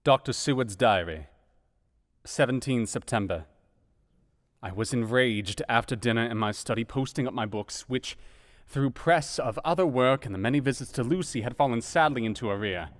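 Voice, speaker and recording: A man's voice: medium pitch, normal speed, natural voice. An actor voicing an asylum doctor who narrates his diary in an earnest, dramatic way, in a radio play. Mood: tense.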